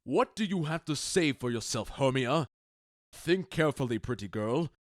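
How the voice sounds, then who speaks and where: A man playing a commanding, measured duke in an audio drama, speaking with stately, deliberate authority. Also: mid-range voice, lively intonation, no echo, clean studio sound, close to an everyday voice. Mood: stern, advising. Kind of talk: modernized Shakespeare audio drama acting.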